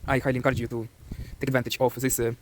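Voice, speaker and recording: A man's voice: mid-range voice, little pitch movement, racing, background noise. A young man with a strong Ukrainian accent giving a loose, earnest motivational monologue in an old vlog, with frequent hesitations. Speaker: vlogger.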